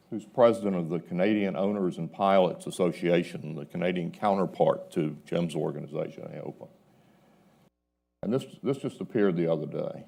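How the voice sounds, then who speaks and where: A man with a very low-pitched, dark and mellow voice speaks as a panelist. He argues his case in a measured but emphatic way, setting out his points like someone citing evidence. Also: gravelly. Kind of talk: panel discussion, arguing a point. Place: live panel event before an audience.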